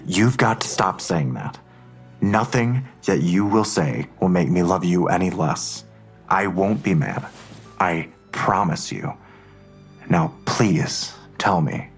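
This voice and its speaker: An actor playing a worried, protective father in an audio drama, husky and earnest, reassuring but insistent. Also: deep voice, expressive, takes it slowly, faint music behind, exaggerated voice.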